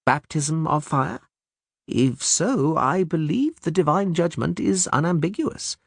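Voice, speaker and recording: A man playing a calm, coldly ironic barber surgeon in a fantasy audiobook, speaking in a measured, mocking way. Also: on the high side, vocal fry, dry recording, studio quality, voice pushed up, full character voice. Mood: cool irony.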